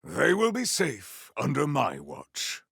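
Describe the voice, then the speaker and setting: An actor playing a noble, earnest warrior hero in a video game, speaking in a pompous, formal and grandiose way. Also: male voice, mid-range voice, wildly swooping pitch, slow pace, creaky, fairly bright tone, husky, no echo, clean studio sound, full character voice. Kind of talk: video game character voice acting.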